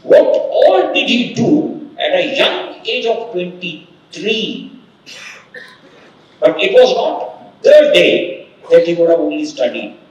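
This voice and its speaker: A man hosts a corporate summit and introduces the next speaker. His voice is high and lively, and he speaks slowly and emphatically. He is heard from far off in a very echoey hall. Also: dull audio. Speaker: event host introducing the speaker. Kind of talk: motivational introduction speech. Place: large conference hall.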